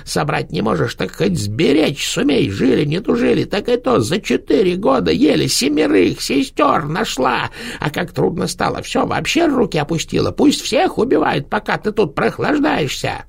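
Man putting on a rattling, rasping dead witch grandmother. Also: high voice, big pitch swings, moderate pace, few pauses, voice pushed up, heavily acted. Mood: furious. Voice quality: rattling, cracked old voice. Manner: sneering, scolding. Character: dead old witch grandmother, rotting, spiteful, mocking. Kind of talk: audiobook narration.

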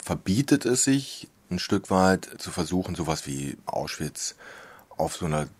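A man's voice: deep voice, big pitch swings, a little slow, slightly rough, no room sound. A man explaining his artistic decisions in reflective, unscripted talk.

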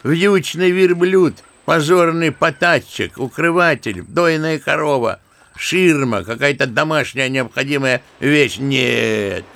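Gravelly old grandfather, wryly bitter. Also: a little high, slow, fairly bright tone, exaggerated voice. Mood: bitter, philosophical. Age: older. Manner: theatrical storytelling, mimicking others, savouring words. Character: embittered, talkative old grandfather, an old-school Russian gentleman. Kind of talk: audio drama acting of a literary story.

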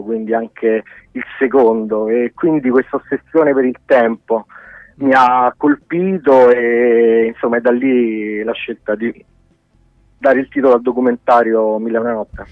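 A man's voice: mid-range voice, dry recording. A man giving spontaneous, hesitant interview answers as a radio guest. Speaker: documentary director as radio guest. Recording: dull lo-fi radio call.

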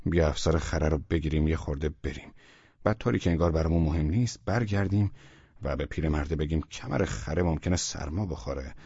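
A scheming American traveler plotting in a low, hushed, husky voice, as a male storyteller puts him on. The sound is dry and somewhat dull and lo-fi. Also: no echo, exaggerated voice. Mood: conspiratorial.

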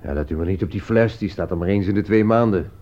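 An actor playing a flippant writer with a dry, ironic manner in a radio play. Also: male voice, low-pitched, quick pace, mellow, close to an everyday voice. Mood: wry. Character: wry, flippant male writer, friend of the suspect. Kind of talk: Dutch radio drama dialogue. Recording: dull, band-limited old radio recording.

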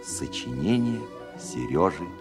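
A man playing a composer who recalls his childhood with gentle humour as narrator of a radio play. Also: deep voice, sing-song, slow pace, exaggerated voice. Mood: wry, proud. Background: music.

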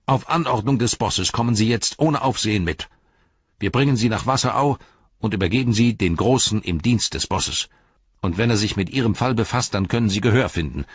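Man reading as a self-important hobbit police chief: raised, husky, bureaucratic. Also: fairly low pitch, brisk, no room sound, dull audio, pitched above the performer's own voice. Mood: officious. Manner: stiff, bureaucratic, lecturing. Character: pompous officious male hobbit police captain.

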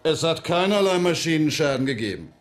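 An actor playing an unyielding official in a radio drama, formal and unmoved. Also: male voice, on the high side, big pitch swings, brisk, faint music behind. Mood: cool, dismissive.

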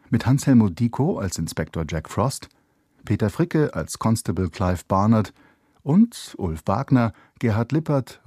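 A man formally announcing the title and cast of a radio drama in a measured, scripted style. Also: on the low side, mellow, breathy edge, no echo, clean studio sound. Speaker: announcer reading credits.

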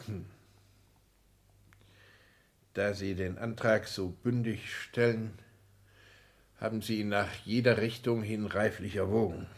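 An actor playing an older, reserved and haughty count in a 1960s German radio play, speaking in formal, measured, aristocratic diction. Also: male voice, on the low side, fairly even intonation, gravelly. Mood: cool, formal.

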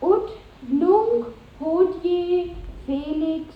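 A roomy, dull old radio recording holds a high, halting pupil's voice. The young pupil recites slowly, in 1950s radio drama manner. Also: female voice, over a noisy crowd, heavily acted. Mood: halting, unsure.

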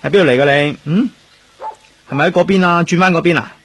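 An actor with a Hong Kong Cantonese accent playing a lonely, grieving, gentle police detective in a radio drama. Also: male voice, high voice, fast, slight background noise, close to an everyday voice. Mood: playful, coaxing. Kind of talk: radio drama, narrated inner monologue and dialogue. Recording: music under the voice. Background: background music.

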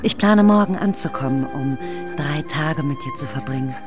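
A woman's voice: deep voice, normal speed, music in the background, close to an everyday voice. An actress in a radio play voicing a determined, elegant wife speaking the words of her letter to her husband. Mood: breezy resolve.

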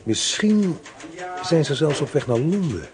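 An actor playing a pensive male police inspector who speaks slowly and muses. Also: on the high side, sing-song, crowd noise. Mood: musing. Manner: slow, musing.

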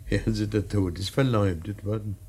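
An actor playing an anguished, solemn old painter mourning his son in a classic radio play, declaiming haltingly with repeated words and a husky voice. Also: fairly low pitch, steady tone, dark tone. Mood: solemn, musing. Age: older.

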